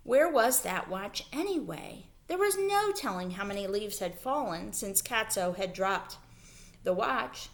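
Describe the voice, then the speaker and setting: A woman reading a children's story aloud as a teacher, in expressive narration. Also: medium pitch, measured pace.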